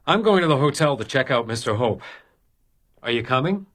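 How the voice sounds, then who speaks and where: A haunted male detective in a radio crime drama. His voice is mid-pitched and a little husky, and he speaks in curt, urgent bursts, anxious and driven. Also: expressive, a little fast, a bit bright. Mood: grim, explaining.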